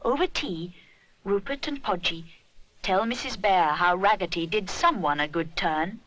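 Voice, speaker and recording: A woman narrating a scripted children's story in an expressive storytelling style. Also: mid-range voice, a little slow, no room sound, poor audio.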